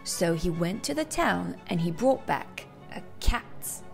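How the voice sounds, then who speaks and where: A woman's voice: fairly low pitch, expressive, slow, creaky. A woman narrating a rhyming children's storybook aloud with theatrical, dramatic phrasing. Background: classical music.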